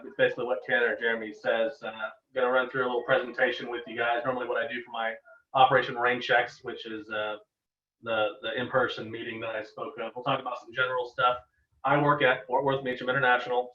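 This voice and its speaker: A man giving an informal webinar presentation as a guest air traffic controller, casual and given to joking asides. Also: mid-range voice. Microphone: online video call. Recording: echoey, distant, dull webinar microphone.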